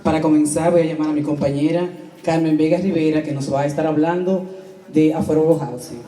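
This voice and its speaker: A man's voice: fairly high pitch, fairly even intonation, fast talker, dark tone. A man addressing a public meeting as its moderator and introducing speakers to the room. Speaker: meeting moderator, coalition member. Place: large indoor community hall. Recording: echoey hall, distant microphone.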